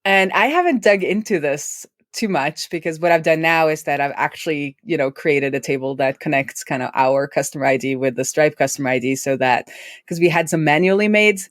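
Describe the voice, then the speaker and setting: A woman's voice: low voice, brisk, no room sound, clean studio sound. A woman with a head cold and a Norwegian first language, co-hosting a casual tech chat, rambling and laughing often. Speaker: co-host.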